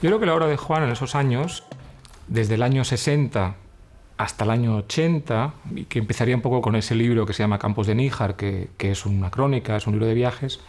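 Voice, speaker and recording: A man giving thoughtful analysis as a literary commentator in a documentary interview, pausing as he goes. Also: mid-range voice, a little mellow, faint music behind.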